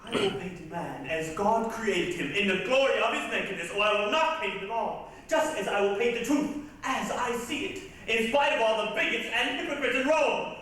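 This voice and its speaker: Man acting a defiant high-voiced painter, echoey stage. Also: normal speed, few pauses, fairly bright tone, far from the mic. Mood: defiant, proud. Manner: declamatory, defiant. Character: proud, defiant Renaissance painter, stubborn and insolent. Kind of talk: stage reader's theatre acting. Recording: echoey hall from afar, hissy 1980s recording.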